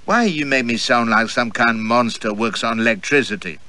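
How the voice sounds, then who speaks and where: A man voicing a languid, flirtatious society woman with a slow drawl. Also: mid-range voice, sharp tone, close to an everyday voice. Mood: coy.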